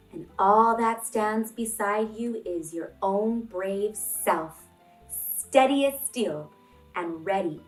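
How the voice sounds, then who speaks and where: A woman hosting a children's read-along, reading expressively and addressing young listeners deliberately as friends. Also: mid-range voice. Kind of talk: expressive picture-book read-aloud and talk to young children. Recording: roomy, distant microphone, music behind.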